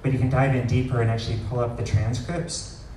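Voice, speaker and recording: A man presenting a live software demo at an indoor meetup, explaining informally with frequent pauses, picked up by a distant camera. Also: medium pitch, flat delivery. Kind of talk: live product demo talk. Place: large wood-ceilinged meetup room, indoors. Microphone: far camera recording the room. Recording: roomy, distant pickup with faint noise.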